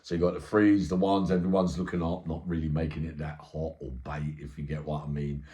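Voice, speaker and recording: Very deep-voiced man telling stories. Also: flat delivery, fairly quick, dark tone. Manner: streetwise, slangy, punctuated with check-in tags. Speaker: storyteller and channel host. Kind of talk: informal first-person eyewitness storytelling.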